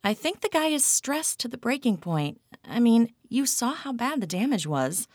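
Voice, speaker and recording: A woman's voice: on the high side, big pitch swings, normal speed, darkish tone, no room sound, studio quality, pitched above the performer's own voice. A woman voicing an anxious pregnant female TV producer who speaks in a placating, hesitant way.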